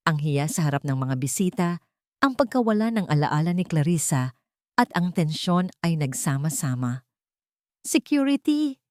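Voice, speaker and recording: A woman's voice: deep voice, lively intonation, moderate pace, dry recording, clean studio sound. A woman narrating an audio drama in a scripted, dramatic storytelling style.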